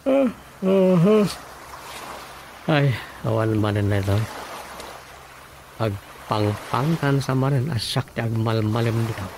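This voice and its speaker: A man's voice: medium pitch, lively intonation, takes it slowly, pauses often, background noise. An actor playing an opinionated, talkative village councilman in a radio drama, with an Ilocano accent and a conversational, grumbling manner. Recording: music bed under dialogue.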